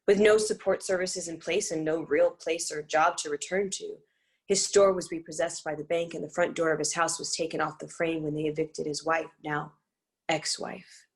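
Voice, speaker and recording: A woman's voice: low voice, fairly even intonation, moderate pace, gravelly. A woman reading scripted dramatic narration and stage directions for a play.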